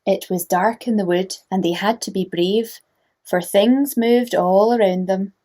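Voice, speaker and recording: A woman narrating a children's storybook in a gentle manner, inviting young listeners to join in. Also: medium pitch, measured pace.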